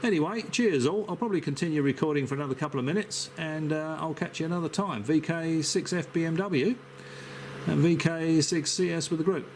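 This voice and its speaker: A man who works as an amateur radio operator, chatting casually on a net in halting, hesitant phrases. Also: on the high side, a little mellow. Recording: faint hiss and radio noise.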